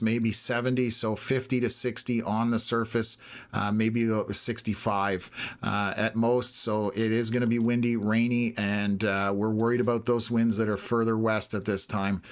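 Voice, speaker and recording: Flat-voiced Canadian man tracking storms live. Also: fairly low pitch. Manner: steady and measured, many filler "uh"s. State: hoarse, losing his voice. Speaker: live weather stream host.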